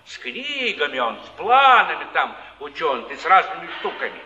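An actor playing a kindly, sly old wanderer who talks in a folksy, soothing way in a stage drama. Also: male voice, fairly high pitch, big pitch swings, normal speed, bright, forceful tone, exaggerated voice. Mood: earnest storytelling. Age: older. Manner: folksy, soothing, repeats words, chuckles. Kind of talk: mid-century Russian stage acting. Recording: echoey theatre stage, distant, dull archive sound.

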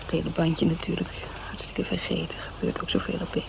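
A woman's voice: low voice, flat delivery, a little fast, vocal fry. An actress with a Netherlands Dutch accent playing a resigned, plain-spoken woman confiding conversationally in a radio drama. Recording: dull, noisy old radio recording.

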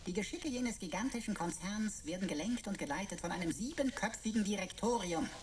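A woman's voice: mid-range voice, expressive, a little fast, a little mellow, a little hiss or hum, lightly acted. A woman playing a cheeky talking pocket computer in a German radio play, flowery, ironic and mock-formal. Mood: officious, flowery.

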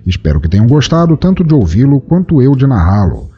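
A man hosting a podcast and narrating a story in a measured, dramatic storytelling manner. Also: medium pitch, big pitch swings, warm tone, muffled recording, soft background music.

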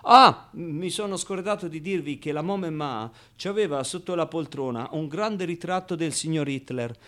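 A man's voice: a little high, normal speed, fairly bright tone, close to an everyday voice. A man playing a naive, streetwise ten-year-old orphan boy in a colloquial theatrical radio monologue. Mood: wistful, confiding. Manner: colloquial, ungrammatical, with interjections and rhetorical asides.

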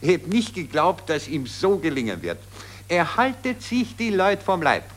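An actor with a Viennese accent playing a blasé, grumbling aristocrat in a 1940s German radio play, talking in an affected, haughty, languid way. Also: male voice, a little high, sing-song, moderate pace, slight background noise. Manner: affected, haughty, languid upper-class chatter. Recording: vintage 1940s radio recording.

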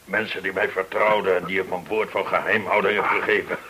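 An actor playing a hot-tempered, wronged gentleman in a radio drama, speaking with bitter indignation. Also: deep voice, little pitch movement, brisk. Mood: bitter, vengeful. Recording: hissy old radio play recording.

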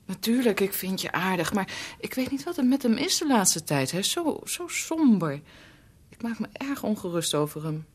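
Breathy, hesitant young woman. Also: mid-range voice, big pitch swings, normal speed. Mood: worried. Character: devoted, hesitant young woman, anxious for her master. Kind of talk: radio drama acting.

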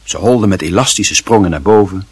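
A man narrating a literary radio drama in a solemn, measured and expressive manner. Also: low voice, husky, no echo.

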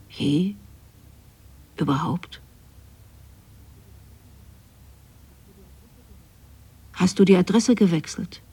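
A woman's voice: fairly low pitch, creaky, dark tone, breathy edge, exaggerated voice. An actress playing a lonely, possessive widowed mother in a radio drama, speaking a letter to her absent son in a tender, plaintive way. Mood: pleading, longing. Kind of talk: intimate radio drama monologue, spoken as a letter.

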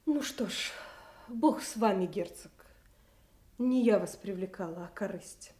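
A woman's voice: mid-range voice, unhurried, dark tone, natural voice. A woman playing a sincere, wronged young princess with restrained pride in a classical radio drama. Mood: quiet, hurt.

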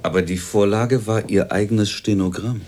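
An actor playing a brusque, condescending company boss in a radio drama, speaking pointedly and belittlingly. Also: male voice, lowish voice, steady tone, normal speed, a little mellow, close to an everyday voice. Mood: critical, cold.

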